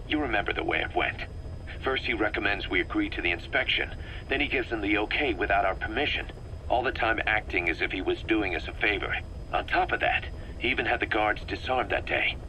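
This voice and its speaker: A man's voice: lowish voice, brisk, sharp tone. A man playing a bitter, driven middle-aged military officer in a video game, briefing in a clipped, emphatic way. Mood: bitter, vengeful. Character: bitter, driven military second-in-command, vengeful and intense. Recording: faintly noisy cassette tape recording.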